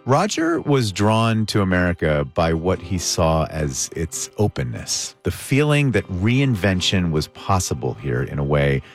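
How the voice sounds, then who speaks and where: A man with a deep, low-pitched voice hosts an interview. He shifts between conversational questioning and measured, scripted narration. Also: very animated, faint music behind. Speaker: interview host.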